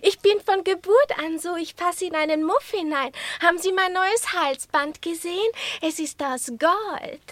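A woman's voice: extremely high pitch, measured pace, hardly any pauses, no room sound. A woman playing a tiny, vain, coquettish young female lapdog in a radio play, with an affected, boastful, coy manner. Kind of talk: German radio play acting.

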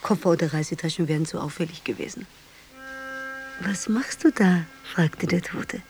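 A woman's voice: on the low side, moderate pace, a little mellow, soft background music, close to an everyday voice. An actress in a German radio play, playing a cynical, scheming young woman with a brazen, teasing manner that slips into whispers. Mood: sly, recounting. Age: young adult.

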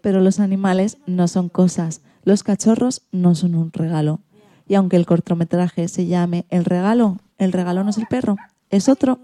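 A woman's voice: fairly low pitch, normal speed, dark tone, dry recording. A woman with an accent from Spain, hosting a radio show in a scripted presenting style.

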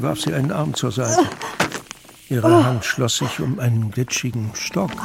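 Male narrator, mid-pitched, creaky gravelly tone, dramatic scripted storytelling, clear music bed.

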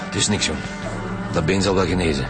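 Actor as deep-voiced Flemish police detective, music behind. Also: male voice, fairly quick, close to an everyday voice. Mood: matter-of-fact. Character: male police detective leading a murder investigation, dry. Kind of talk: radio drama acting.

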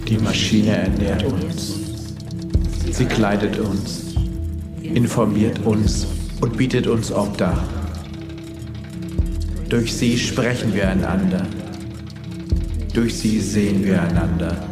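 A man playing a solemn leader of machine worship, reciting measured, reverent liturgy in a radio play. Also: on the low side. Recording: loud music over the voice.

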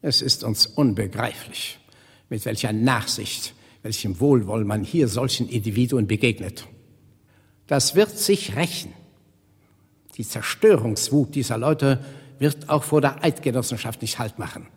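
An actor playing a stern, haughty Russian legation official who speaks in pompous, clipped officialese in a German radio play. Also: male voice, medium pitch, a little slow, husky. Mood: disdainful, warning. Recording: reverberant hall sound.

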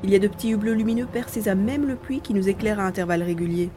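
An actor playing Karl, an anxious, determined adventurer who tells the action as it unfolds in an audio drama. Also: male voice, high-pitched, racing, slight background noise, lightly acted. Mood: watchful. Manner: dramatic, expressive narrating of the action. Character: Karl, anxious adventurer man tracking captive friend, grumbling, determined. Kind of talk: dramatized audio drama with first-person narration. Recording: echoey room with faint music.

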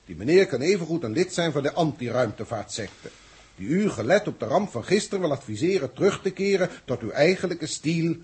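An officious, evasive bureaucrat in an old radio play. His fairly high voice is rough and husky, and it rises and falls sharply as he speaks in careful, pedantic sentences. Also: animated, a little fast, darkish tone. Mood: insistent, defensive. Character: evasive, formal male official fending off angry questioners. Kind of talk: 1960s Dutch radio drama acting.

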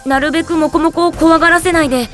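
Earnest high-pitched boy adventurer. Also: fast, faint music behind. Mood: worried. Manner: polite, eager, expressive. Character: earnest, polite, friendly teenage boy adventurer. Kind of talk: Japanese game drama CD acting.

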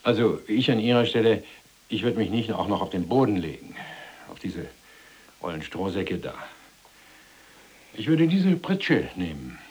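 A folksy German war criminal in a radio play, chummy and roundabout. His low, husky voice swings widely in pitch as he hesitates and circles around his point in colloquial speech. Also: sing-song, a little slow, pauses often, a little mellow, heavily acted. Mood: chummy, insistent. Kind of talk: German radio drama dialogue.

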